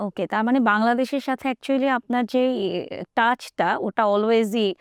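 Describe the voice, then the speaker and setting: A woman hosts the interview, switching between two languages mid-sentence. She talks very fast in a clean, smooth voice. The sound is dry and close. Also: a little high, fairly even intonation, no echo. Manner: mixes two languages, quick questions. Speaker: show host. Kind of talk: interview hosting.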